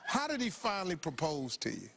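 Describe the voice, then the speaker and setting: Gravelly, animated talk show host. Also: male voice, fairly high pitch, brisk. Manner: playful, teasing. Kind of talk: talk show interview banter. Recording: loud studio audience and noise.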